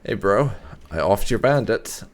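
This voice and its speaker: A man doing casual playthrough commentary as a gaming host, musing aloud in an unhurried way as he plays. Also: lowish voice, animated.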